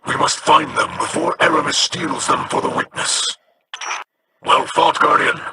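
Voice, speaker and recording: An actor playing a stern, solemn old alien warrior captain who speaks formally and gives commands in a video game. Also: male voice, a little high, steady tone, measured pace, heavy background noise, lightly acted. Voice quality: gravelly, formal.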